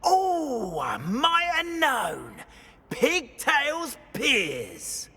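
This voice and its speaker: An excitable, dotty British caretaker in a game. The voice is very high and swoops wildly. He rambles theatrically in exclamations, unhurried and bright. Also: a little hiss or hum, full character voice. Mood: startled recognition. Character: dotty, excitable caretaker, former school bully. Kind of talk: video game voice acting.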